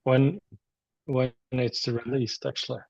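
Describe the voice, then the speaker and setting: A man with a Norwegian accent, a musician chatting casually and haltingly in an interview. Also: mid-range voice, level tone, gravelly, darkish tone, dry recording, muffled recording. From Norway. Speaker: metal musician being interviewed.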